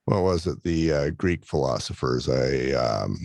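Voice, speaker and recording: Deep-voiced male podcast host, reflective and encouraging, close dry sound. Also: lively intonation, unhurried, vocal fry, darkish tone, no echo. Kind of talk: interview hosting.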